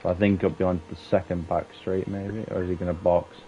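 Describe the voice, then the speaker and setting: A man giving casual, excitable commentary on a sim racing qualifying session, swearing freely and bantering with his co-host. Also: sits low, a little fast. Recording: dull lo-fi stream audio with faint hiss.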